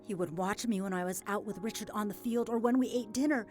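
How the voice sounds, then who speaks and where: A woman playing a grieving, hesitant farm widow in an audio drama, speaking in a halting, emotional way. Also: medium pitch, a little mellow, faint music behind, close to an everyday voice. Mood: uneasy, tearful. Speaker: voice actor.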